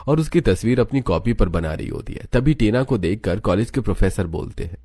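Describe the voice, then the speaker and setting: A man's voice: fairly low pitch, expressive, fairly quick, dark tone, husky. A man narrating a scripted story as its narrator.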